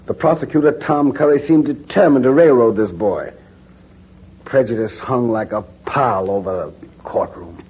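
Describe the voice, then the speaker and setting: An earnest reporter. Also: male voice, medium pitch, warm tone, slightly rough. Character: earnest male reporter narrating in first person. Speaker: narrator. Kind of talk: 1940s radio drama narration. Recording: dull, hissy 1940s radio broadcast.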